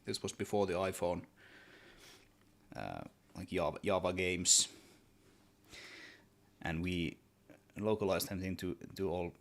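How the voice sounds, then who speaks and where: Low-voiced Swedish man chatting while working. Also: measured pace, vocal fry, pauses often. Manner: relaxed, rambling, repeats words while thinking aloud. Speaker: solo game developer streaming. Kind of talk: casual livestream chat while working.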